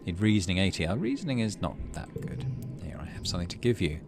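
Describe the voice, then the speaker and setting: Low-voiced man narrating, very lively pitch. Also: fast talker, music in the background. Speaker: let's play host. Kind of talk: casual gaming commentary mixed with reading game text aloud.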